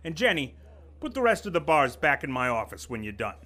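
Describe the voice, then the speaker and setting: A man voicing a smug, menacing corporate boss in an audio drama who lectures his staff with pompous condescension. His voice sits fairly high and swings widely, with a bright, sharp edge. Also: big pitch swings, a little fast, heavily acted. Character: pompous middle-aged male corporate boss, menacing and sly. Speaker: office vice president.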